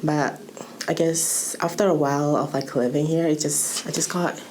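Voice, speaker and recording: A woman's voice: low voice, fairly even intonation, normal speed, darkish tone, a little hiss or hum. A young woman from Malaysia giving a casual personal account, hesitantly searching for her words. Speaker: youth programme participant.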